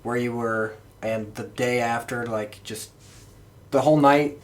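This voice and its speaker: A man's voice: mid-range voice, steady tone, a little slow. A young man chatting casually as a podcast host, rambling through memories like an eager fan. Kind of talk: casual chat, recounting memories.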